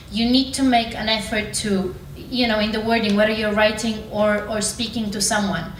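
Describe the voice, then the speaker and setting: A woman giving a spontaneous, explanatory comment in a group discussion. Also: medium pitch. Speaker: discussion participant. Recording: echoey room, distant mic, faint noise.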